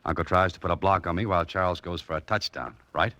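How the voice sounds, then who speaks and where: Very low-voiced sardonic male adventurer, hard-boiled 1940s radio drama, close and dry sound. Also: steady tone, fast talker, sharp tone, no echo. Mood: wry, cool. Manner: dry, sardonic, clipped wisecracking. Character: wry, self-mocking adventurer and mystery writer, nobody's fool.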